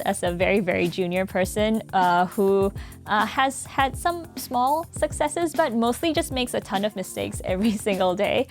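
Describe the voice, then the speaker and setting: Young woman, law student, upbeat scripted intro, medium pitch, soft music behind. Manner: upbeat, reads a prepared script with light fillers.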